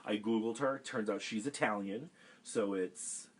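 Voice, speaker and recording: A man reading a picture book aloud for a children's storytime, animated and expressive. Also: fairly low pitch, a little slow, slight background noise.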